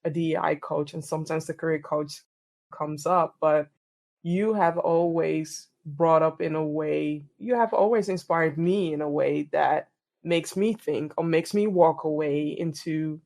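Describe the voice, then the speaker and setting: A woman's voice: deep voice, a little slow, creaky, a little mellow. A middle-aged woman from the Netherlands, answering interview questions in an earnest, reflective way and often repeating words as she thinks. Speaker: podcast host and DEI coach.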